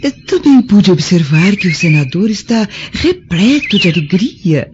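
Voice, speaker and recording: An actress playing a cheerful, devoted Roman maidservant in a radio drama, respectful and earnest. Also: female voice, mid-range voice, very animated, unhurried, noisy background. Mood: glad, devoted. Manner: respectful, earnest, gently theatrical. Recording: dull sound with faint music.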